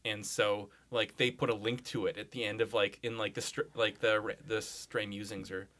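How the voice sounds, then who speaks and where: A man's voice: fairly low pitch, flat delivery, moderate pace, a bit bright. A man chatting casually as a podcast guest, telling stories in a rambling way full of "like"s. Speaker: podcast guest, comics blogger.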